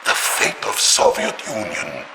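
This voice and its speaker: An actor playing a grave, urgent Soviet leader with a Russian accent, speaking solemnly and dramatically over a transmission in a game. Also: male voice, a little slow, pushed and bright. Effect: encrypted radio transmission. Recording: noisy, echoing transmission.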